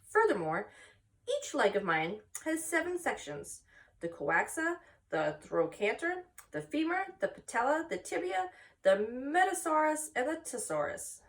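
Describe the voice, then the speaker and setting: A woman's voice: high voice, sing-song, a little slow, pitched above the performer's own voice, exaggerated voice. A woman voicing a clever lady spider with precise, teacherly delivery in a storybook read aloud, slightly far from the mic. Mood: clever, instructive.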